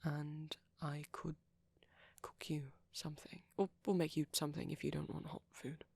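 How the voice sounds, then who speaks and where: A woman voicing a shy, gentle young male vampire boyfriend in an ASMR roleplay, speaking breathily and hesitantly with small stammers. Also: sits low, flat delivery, moderate pace, dry recording. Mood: shy, caring. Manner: hesitant, stammering, softly whispery. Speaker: audio roleplay performer.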